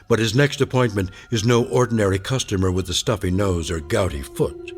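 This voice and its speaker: An actor playing the wry, portentous narrator of an uncanny radio drama, with a theatrical delivery. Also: male voice, lowish voice, a little fast, music in the background, close to an everyday voice. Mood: wry, ominous. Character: wry, ominous male narrator of uncanny tales.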